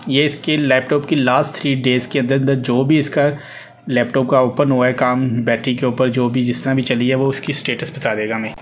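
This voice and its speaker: Male tutorial presenter, mid pitch, instructional and repetitive, unscripted, recorded on a phone with clear background noise. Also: flat delivery, normal speed. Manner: instructional, repeats phrases step by step. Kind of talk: hands-on spoken tutorial, unscripted. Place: indoors at a desk with a laptop. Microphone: handheld phone behind the camera.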